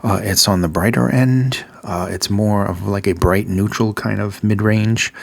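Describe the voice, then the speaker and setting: A man with a Massachusetts accent giving a casual, rambling gear review, filling pauses with ums and uhs and repeating his praise. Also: fairly low pitch, fairly even intonation, vocal fry. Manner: filler-heavy, repeats praise words.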